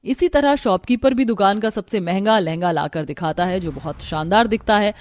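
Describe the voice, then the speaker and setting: A woman's voice: mid-range voice, normal speed, a little mellow, soft background music. A woman reading scripted narration for a cartoon family story.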